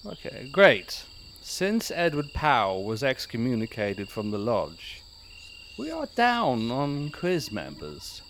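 An actor playing a pompous small-town mayor who leads a secret lodge, theatrical and commanding but prone to fussiness, in comedy-horror audio drama. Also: male voice, mid-range voice, wildly swooping pitch, slow pace, fairly bright tone, a little hiss or hum, exaggerated voice. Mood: officious. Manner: theatrical, commanding, then awkwardly fussy.

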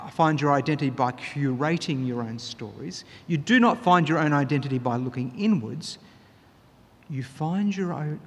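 A man preaching a sermon, earnest and emphatic, pressing his key points louder. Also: a little high, breathy edge, in a large hall. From Australia.

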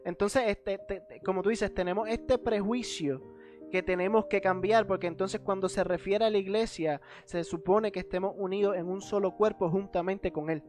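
A man's voice: high voice, fairly even intonation, moderate pace, darkish tone, soft background music. A man in a casual church discussion, speaking in a preacherly way and citing scripture. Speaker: panelist.

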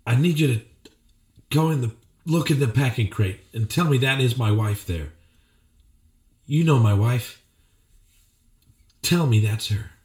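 A man's voice: medium pitch, a little slow, pauses often, a little mellow, lightly acted. A man playing a confused, desperate ex-cop with amnesia in an improvised film noir audio play, anxiously repeating himself. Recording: roomy echo with faint music.

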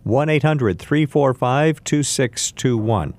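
A man's voice: medium pitch, expressive, takes it slowly, a little mellow. A middle-aged or older man co-hosting a radio show, chatting casually and making announcements. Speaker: radio co-host. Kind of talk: casual on-air chat and announcements.

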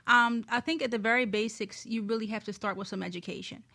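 A woman with a fairly high voice talks as an interview guest and expert. She gives conversational advice, frank and emphatic about her points. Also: fairly even intonation, fast talker, creaky, pushed and bright.

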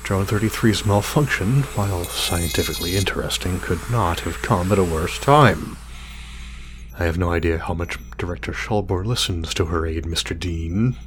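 A man's voice: low-pitched, moderate pace, background noise, close to an everyday voice. An actor playing an irritable, obsessive scientist muttering hesitantly to himself and his drone in an audio drama. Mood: clinical, annoyed. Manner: muttering, self-reassuring, hesitant, swearing.

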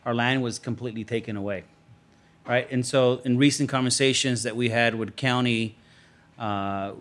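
A man from Los Angeles with a medium-pitched voice speaks on a panel. He talks without a script, earnest and emphatic in his phrasing. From Los Angeles, United States. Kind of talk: unscripted panel talk.

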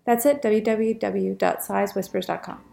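A woman's voice: mid-range voice, steady tone, moderate pace, gravelly, dark tone, soft background music. A woman hosting a podcast, reading a scripted introduction and promoting her newsletter and website. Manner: read-aloud promotional delivery. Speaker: podcast host.

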